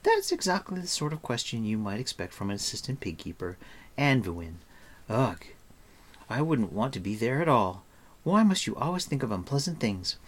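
A man's voice: medium pitch, sing-song, normal speed. A man voicing a chattering, scolding, breezily confident young girl in a storybook, talking in a breathless rattle. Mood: teasing, scolding.

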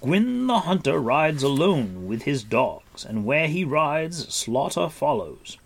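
A man playing a noble, wise warrior lord who speaks formally with measured authority in a storybook reading. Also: a little high, sing-song, creaky, pitched above the performer's own voice, exaggerated voice. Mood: grave, musing. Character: noble, wise, commanding warrior lord, grave but gentle.

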